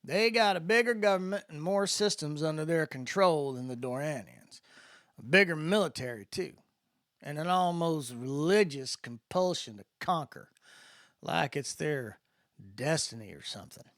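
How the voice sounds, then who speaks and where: A man's voice: on the high side, lively intonation, normal speed, fairly bright tone, no room sound, studio quality, pitched above the performer's own voice. Man doing a folksy, drawling space cowboy buddy. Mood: folksy, explaining. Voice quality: Southern-style drawl.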